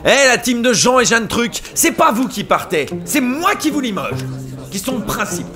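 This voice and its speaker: An actor playing a vain, petulant start-up boss with a grandiose, whiny manner in a comedic audio drama. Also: male voice, extremely high pitch, very animated, normal speed. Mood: indignant. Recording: music behind the voice.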